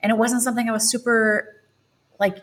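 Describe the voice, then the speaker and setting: Husky-voiced woman, thoughtful and searching. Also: on the high side, level tone, brisk, fairly bright tone. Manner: thoughtful, searching, many fillers. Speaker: guest, Broadway actress. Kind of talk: casual podcast interview.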